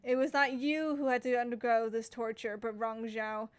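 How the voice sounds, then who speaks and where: A woman's voice: fairly high pitch, steady tone, normal speed, clean tone. A woman gaming streamer reading game text aloud during a playthrough, casually stumbling over words and breaking off to comment.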